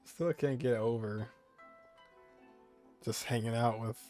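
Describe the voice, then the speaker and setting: A man's voice: mid-range voice, fairly even intonation, slow pace, a little mellow. A man streaming a game, giving casual, offhand commentary and reading on-screen text. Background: game music.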